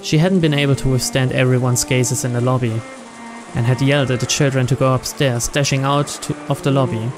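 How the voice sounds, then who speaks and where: A man's voice: medium pitch, fairly even intonation, fairly quick, over music. A man reading visual novel narration aloud in a storytelling style. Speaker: narrator, let's player.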